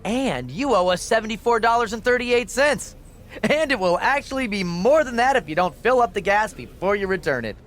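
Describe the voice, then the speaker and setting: A man's voice: high-pitched, animated, brisk, fairly bright tone. A man playing a smug wrestling manager's aide in a game cutscene, speaking with mock-cheerful sarcasm. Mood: smug, gloating. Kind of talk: video game cutscene acting.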